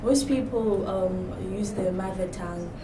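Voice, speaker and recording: A woman's voice: mid-range voice, unhurried. Young woman, hesitant speaker, recorded from a distance in an echoey room. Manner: hesitant, many fillers. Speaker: class participant. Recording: echoey room from afar, noisy.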